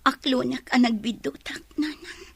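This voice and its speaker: A woman's voice: sits high, normal speed, gravelly, breathy edge, no room sound, heavily acted. A woman playing a despondent, regretful young village daughter in a radio drama, plaintive and downcast, with an Ilocano accent. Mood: ashamed.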